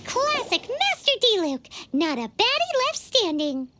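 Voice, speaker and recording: A woman voicing a tiny, excitable, childlike fairy companion in a video game, squeaky and exclamatory. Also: unhurried, full character voice. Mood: cheerful. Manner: exclamatory, refers to self in third person. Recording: music behind the voice.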